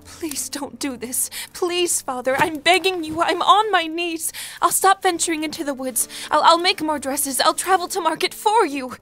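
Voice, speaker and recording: An actress playing a sheltered, defiant young daughter, soft, earnest and emotional, in a period audio drama. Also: female voice, very high voice, moderate pace, few pauses, soft background music. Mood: pleading.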